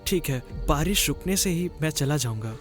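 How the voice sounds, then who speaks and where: A man acts out a young traveller in a cartoon ghost story. His voice is high for a man, polite but uneasy and full of hesitant questions, with music clearly behind it. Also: expressive, normal speed, lightly acted. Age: young adult. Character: anxious, polite young traveller sheltering from a storm. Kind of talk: acted Hindi cartoon horror dialogue.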